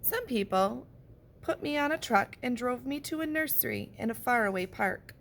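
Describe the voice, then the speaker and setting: A woman, a teacher, reading a picture book aloud and pausing to point out what the pictures show. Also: on the high side, expressive, a little hiss or hum. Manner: gentle, slow storybook delivery with pauses.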